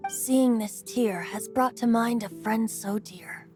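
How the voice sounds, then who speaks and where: A woman's voice: on the high side, takes it slowly, darkish tone, soft background music. A woman voicing a whimsical boyish young male bard in an anime video game, lilting and theatrical, playful and wistful.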